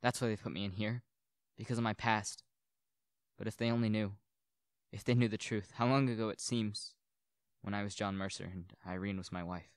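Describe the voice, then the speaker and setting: A man's voice: fairly low pitch, fairly even intonation, moderate pace, clear, resonant tone, no room sound, close to an everyday voice. A young man playing a brooding, grieving widower and devoted husband in a solemn, theatrical radio play. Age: young adult. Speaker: student actor. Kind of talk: radio play acting and monologue.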